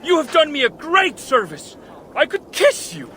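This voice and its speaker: High, animated, excitable dwarf smith. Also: male voice, moderate pace, some chatter behind, slight background noise, exaggerated voice. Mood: grateful, elated. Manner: exclamatory, theatrical. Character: frantic, excitable male dwarf metalworker. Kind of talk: video game cutscene acting.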